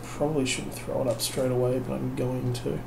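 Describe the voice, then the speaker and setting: Tired, gravelly New man rambling. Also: medium pitch, little pitch movement, brisk. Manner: self-mocking, wry and rambling, trailing off with fillers. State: tired, unable to sleep. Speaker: vlogger. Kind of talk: casual late-night rambling while building a kit. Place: home at night. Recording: noisy home recording.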